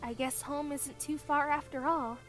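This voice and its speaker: Woman voicing a high-pitched girlish anime heroine. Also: fairly even intonation, normal speed, faint music behind, lightly acted. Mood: wistful, bright. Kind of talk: anime fan dub acting.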